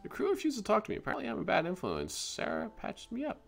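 A man's voice: high voice, wildly swooping pitch, quick pace, creaky, pitched above the performer's own voice, heavily acted. A man voicing a troubled male Russian space station scientist with a clumsy put-on Russian accent, reading his logs aloud. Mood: grim.

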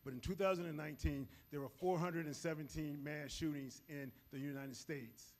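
A middle-aged man with a loud voice, giving a live safety training talk on a handheld mic in a large auditorium, moving around as he speaks. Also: a little high, normal speed. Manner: energetic, moves around the stage while talking. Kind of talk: live presentation to an audience. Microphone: handheld microphone.

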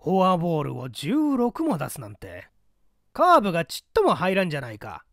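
A man voicing a pompous, brisk older government chief and bluff father with old-fashioned gentlemanly speech in an audiobook story. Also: a little high, wildly swooping pitch, gravelly, no room sound, studio quality, pitched above the performer's own voice, exaggerated voice. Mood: jovial, blustering.

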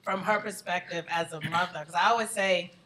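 A woman's voice: on the low side, fairly even intonation, a little fast, bright, forceful tone, slight background noise. A young woman co-hosting a podcast, asking long probing questions in casual chat. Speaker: podcast co-host.

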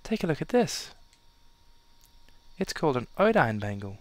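A man's voice: medium pitch, big pitch swings, moderate pace, no room sound, close to an everyday voice. A man voicing an earnest, stubborn young woman rebel in a video game dub.

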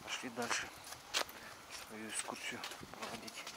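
A middle-aged man walks through a snowy park narrating his own trip, his voice gravelly and his words halting and repetitive. Heavy outdoor noise surrounds him, picked up by the camera's own mic. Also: medium pitch, sing-song. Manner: halting, loose, repeats himself. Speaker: travel vlogger. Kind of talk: casual walking commentary.

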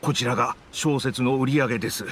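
A man's voice: medium pitch, steady tone, brisk, fairly bright tone, a little hiss or hum, natural voice. A man voicing a dutiful, polite book editor in an anime game, formal and deferential. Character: dutiful, polite male book editor reporting to his boss.

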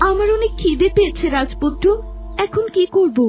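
A young cartoon fairy-tale heroine, played by a woman, with an extremely high, girlish voice. She is gentle and polite with a plaintive edge, and music runs behind her. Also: animated, normal speed. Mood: worried.

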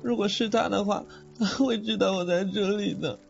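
A sweet, naive young boy ghost played by a man, high-pitched and childlike, pouting like a kid. It is radio-play acting with a slightly dull sound and faint music. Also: fairly even intonation, normal speed, gravelly. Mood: wistful. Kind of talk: Mandarin audio drama acting.

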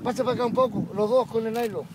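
A man working as a deckhand on a fishing boat, giving quick, insistent directions. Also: very high-pitched, fairly even intonation. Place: open deck of a fishing boat at sea. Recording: wind noise outdoors. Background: wind and boat.

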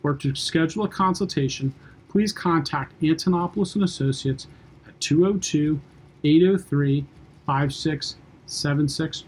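A man in his forties reads a formal legal explainer straight to camera from his office desk. His voice sits a little high and has a husky edge. The delivery is steady and even. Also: a little slow, low-quality recording. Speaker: lawyer. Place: office with window, indoors.

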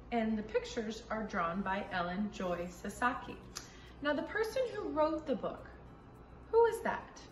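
A middle-aged woman speaks to preschoolers in a lively, swooping voice that sits fairly high. She takes her time and enunciates each phrase like a teacher at story time. The room adds an audible echo. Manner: sing-song, clearly enunciated, addressing young children with questions. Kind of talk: children's story reading and letter lesson. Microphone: camera a few feet away.

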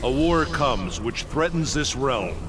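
A man's voice: mid-range voice, expressive, takes it slowly. A man voicing a grave, righteous thunder god in a video game, formal and declamatory. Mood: solemn. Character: solemn, righteous thunder god guarding the realm. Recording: loud music behind studio game voice.

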